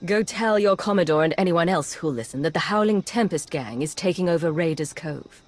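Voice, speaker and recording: A cool, commanding female agent from a video game, played by an actress. Her voice is low and husky, with terse, controlled delivery. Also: brisk, darkish tone, lightly acted. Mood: firm, defiant. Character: cool, tough female undercover agent leading a gang.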